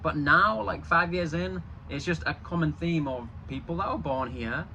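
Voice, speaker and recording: Man, Yorkshire accent, slight Canadian twang, casual vlog chat, rambling restarts, bright voice. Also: on the high side, moderate pace, creaky, dull audio, a little hiss or hum. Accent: Yorkshire English with a slight Canadian twang. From Yorkshire, northern England. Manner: chatty, rambling, with frequent restarts. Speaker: vlogger.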